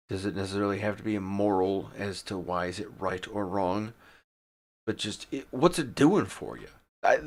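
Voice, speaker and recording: A man's voice: on the low side, fairly bright tone. Irreverent, swearing man riffing casually. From Detroit, USA. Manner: profane, irreverent, laughs often. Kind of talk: casual rambling monologue.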